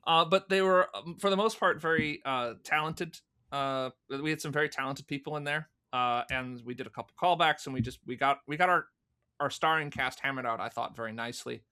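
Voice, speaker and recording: A man's voice: a little high, a little fast, pushed and bright, clear, resonant tone. A man speaking as an interview guest, explaining things in detail and with enthusiasm in a conversational way. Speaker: guest, fan audio drama producer.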